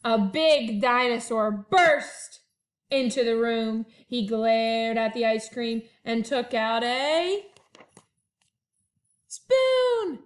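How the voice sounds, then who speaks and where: A woman with a high voice talks to young children as their teacher. She takes her time, stretching phrases expressively as in a picture-book read-aloud, and sometimes sings the refrains. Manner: expressive, drawn-out storytelling with sung refrains. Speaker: first-grade teacher. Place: at home.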